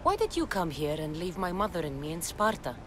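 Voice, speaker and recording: A determined young warrior. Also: female voice, fairly low pitch, very animated, brisk, over music. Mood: direct. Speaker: game character.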